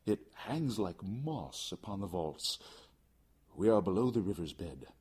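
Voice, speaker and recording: Man as a cunning, husky, courteous aristocrat. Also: deep voice, sing-song, a little slow, darkish tone, pitched above the performer's own voice, exaggerated voice. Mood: coaxing. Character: cunning, falsely solicitous aristocrat leading his victim on. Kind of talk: theatrical audiobook character acting.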